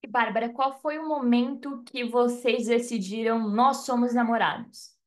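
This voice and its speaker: A woman interviewer hosting the conversation and asking gentle, probing questions. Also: a little high, fairly even intonation, normal speed. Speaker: interviewer, host.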